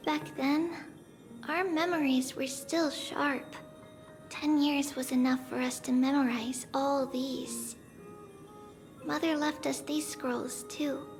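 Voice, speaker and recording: A woman playing a small, gentle, childlike demigod girl in a video game, speaking in a reflective, unhurried way as if older than she looks. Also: high-pitched, steady tone. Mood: wistful. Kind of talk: scripted video game character acting. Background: background music.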